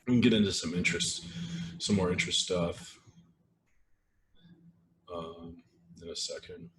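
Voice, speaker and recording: A man hosting a live webinar in casual, rambling talk with lots of fillers, his voice a little strained and throaty as he clears his throat. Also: sits low, a little slow. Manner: relaxed, rambling, many fillers and restarts. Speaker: webinar host. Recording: echoey room with faint noise.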